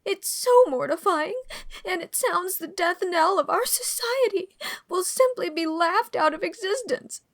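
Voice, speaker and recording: A woman's voice: very high-pitched, unhurried, fairly bright tone, exaggerated voice. A woman playing a distraught, melodramatic teenage girl who wails in an audiobook drama. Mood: wailing distress. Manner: wailing, melodramatic.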